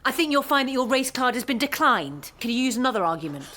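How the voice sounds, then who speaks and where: An actress in a radio drama playing a defiant, accusing young postgraduate student with a pointed, sardonic delivery. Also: female voice, high-pitched, very animated, fast, pushed and bright, exaggerated voice. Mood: sardonic, angry. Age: young adult. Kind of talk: naturalistic radio drama acting.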